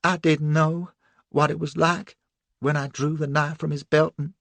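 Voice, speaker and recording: A man's voice: fairly high pitch, little pitch movement, moderate pace, creaky, a bit bright, no room sound, voice pushed up. A man voicing a frightened, resolute young woman. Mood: shaken.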